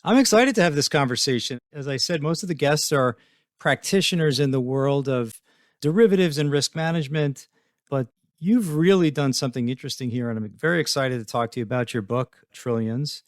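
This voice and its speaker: A man's voice: medium pitch, a little fast, dry recording, clean studio sound. A man hosting an interview podcast, speaking in a conversational, presenterly way. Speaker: podcast host. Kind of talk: scripted introduction and conversational interviewing.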